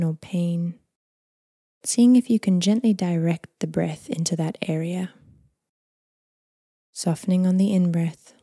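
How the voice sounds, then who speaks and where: A woman leading a guided body scan meditation in a soft, breathy, near-whispered voice, gentle, unhurried and soothing. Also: lowish voice, no echo, clean studio sound. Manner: gentle, unhurried, calming delivery with long phrases trailing off.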